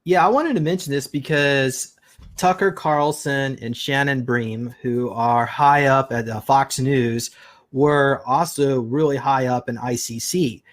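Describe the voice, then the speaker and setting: Casual male interviewer, mid-pitched voice. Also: unhurried.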